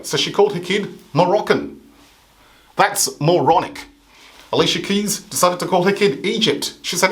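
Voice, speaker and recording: Man from Africa, comedy host, sarcastic satirical monologue with punchy mock outrage, voice sits a touch high. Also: a bit bright.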